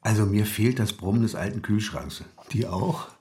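A man's voice: fairly low pitch, moderate pace, darkish tone, close to an everyday voice. An actor playing an anxious, self-absorbed man in his late fifties in a radio drama, with hesitant muttered interjections. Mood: gently concerned. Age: 50s. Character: ageing editor of 59, anxious, self-absorbed, easily flustered. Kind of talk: naturalistic radio drama acting.

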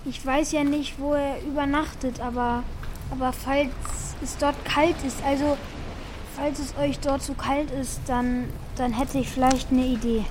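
Earnest schoolkid lead for children's radio play, high voice, thoughtful and kind-hearted. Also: female voice, steady tone, unhurried, noisy background. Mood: hesitant, eager. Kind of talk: German children's radio play acting and narration.

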